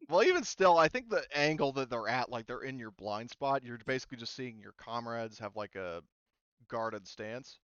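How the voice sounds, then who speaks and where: A man's voice: medium pitch, lively intonation, moderate pace, fairly bright tone, smooth, no room sound, highs cut off. A man speaking casually as a game master, explaining rules and thinking aloud in a hesitant, informal way.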